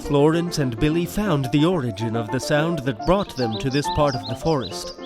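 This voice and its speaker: A man's voice: mid-range voice, expressive, normal speed. Male narrator with music behind. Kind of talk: scripted audio drama narration. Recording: music under the voice.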